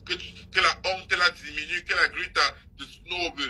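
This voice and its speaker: Lady ranting on a live, sharp husky voice, scolding and mocking, repeats herself a lot. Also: fairly low pitch, fairly even intonation, moderate pace, poor audio. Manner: scolding, mocking, insistent repetition. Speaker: social media live host. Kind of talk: gossip call-out rant on a live stream.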